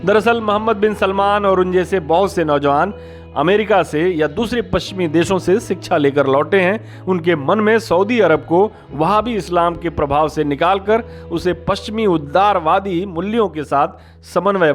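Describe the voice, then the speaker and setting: Higher-pitched man reading scripted news. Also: moderate pace. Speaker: news explainer channel presenter. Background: background music.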